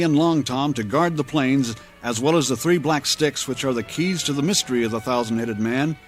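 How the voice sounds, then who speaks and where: A man announcing and narrating a pulp adventure radio serial in a grand, theatrical, scripted style. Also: medium pitch. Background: dramatic music.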